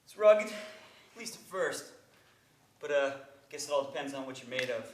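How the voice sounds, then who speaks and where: A man's voice: medium pitch, animated, normal speed, background noise, lightly acted. A man playing a cocky, self-important but awkward young small-town soldier in a stage play. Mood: awkward bragging. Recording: echoey, distant stage recording.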